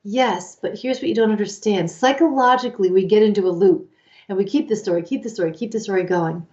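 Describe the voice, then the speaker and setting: Lively woman co-host, slightly lo-fi. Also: medium pitch, fairly quick. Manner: animated, acts out quoted inner voices. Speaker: co-host, life coach. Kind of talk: casual advice chat.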